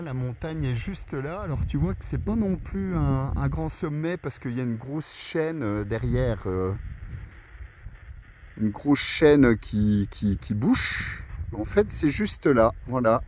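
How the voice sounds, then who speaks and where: A man's voice: mid-range voice, a little mellow, a little hiss or hum. A man chatting casually and hesitantly as a radio operator filming himself outdoors, friendly and rambling. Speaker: amateur radio operator filming his contact. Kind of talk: casual radio chat and outdoor vlog narration. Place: outdoors on a windy mountain valley spot. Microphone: handheld phone or camera microphone.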